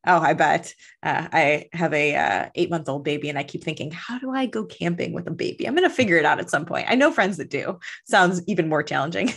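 American woman in her 30s, podcast host, somewhat low voice, doing an interview. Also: animated, brisk, fairly bright tone. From US Midwest, grew up in Chicago.

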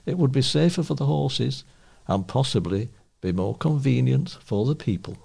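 A man's voice: medium pitch, lively intonation, normal speed, vocal fry, darkish tone, husky. A man reading local newspaper pieces aloud as a volunteer reader.

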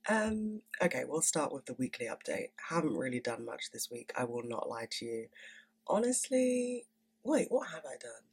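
A woman's voice: very deep voice, sing-song, normal speed, breathy edge. A young woman in her twenties hosting a podcast in casual, candid chat, swearing freely and sounding stuffy from a cold. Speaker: podcast host.

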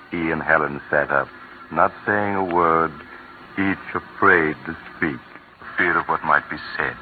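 Deep serious narrator guy, hissy old radio sound. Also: narrow, tinny audio. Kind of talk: scripted narration.

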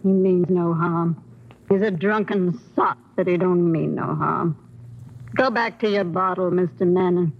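A woman's voice: on the low side, measured pace. Bitter, hard old mother. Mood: weary dismissal. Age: older. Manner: curt, hard, plain-spoken. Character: bitter, hard old working-class mother, weary and proud. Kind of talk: 1950s radio crime drama acting. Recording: noisy, muffled vintage radio recording.

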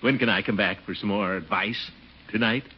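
A man's voice: on the low side, moderate pace, husky. A man playing a blustering, fond, flirtatious middle-aged uncle in a 1940s radio comedy, with a theatrical, pompous delivery. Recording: dull vintage 1940s radio recording.

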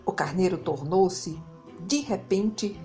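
A Brazilian woman narrating a children's story in an expressive, dramatic way, leaning on key words. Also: lowish voice, slow, faint music behind. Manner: dramatic, emphasizes and repeats words. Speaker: children's storyteller, podcast host.

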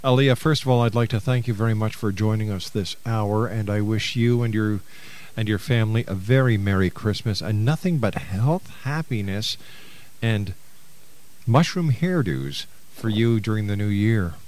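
A man's voice: lowish voice, normal speed, darkish tone. A man hosting a radio talk show in a chatty, courteous, slightly hesitant conversational way. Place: radio studio.

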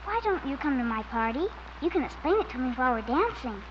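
Sweet coaxing young girl, high voice, old radio comedy, muffled and noisy. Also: very animated, fast. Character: flattering young girl inviting a boy out. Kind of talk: 1950s radio comedy acting.

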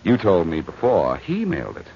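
An actor playing a cocky, quick-witted amateur detective in a 1940s radio crime drama, wisecracking in emphatic slang. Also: male voice, low-pitched, wildly swooping pitch, heavily acted. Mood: triumphant. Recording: muffled, hissy old radio recording.

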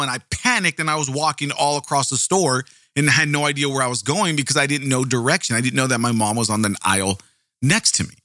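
Booming, forceful man telling a story. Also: dry recording, studio quality. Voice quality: booming projecting voice. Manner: animated, profane, repeats words. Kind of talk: casual true-crime storytelling.

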